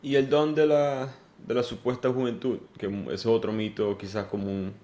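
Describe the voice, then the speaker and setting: A man's voice: mid-range voice, level tone, moderate pace, dark tone. A man hosting a podcast interview who talks reflectively and poses questions to his guest. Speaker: podcast host.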